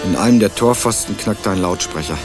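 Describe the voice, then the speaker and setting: Low-voiced, matter-of-fact young male investigator. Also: a little fast, loud music behind the voice. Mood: measured narration. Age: young adult. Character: earnest, dry young male student turned amateur investigator. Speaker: narrator and lead character. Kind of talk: radio crime drama, first-person narration and dialogue.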